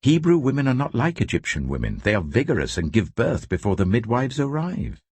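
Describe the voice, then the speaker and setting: Man reading as raised-pitch, defensive Hebrew midwife. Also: huge pitch swings, brisk, a little mellow, breathy edge, no echo, exaggerated voice. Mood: emphatic, defensive.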